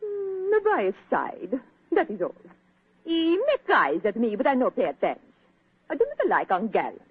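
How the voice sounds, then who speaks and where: A woman's voice: on the high side, big pitch swings, moderate pace, slightly rough, no echo, full character voice. A woman playing a vain, gushing Italian opera singer with a thick theatrical manner and broken English. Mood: vain, dramatic. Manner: grandiose, effusive, broken English. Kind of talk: 1940s radio comedy-drama acting. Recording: dull, dry old radio recording.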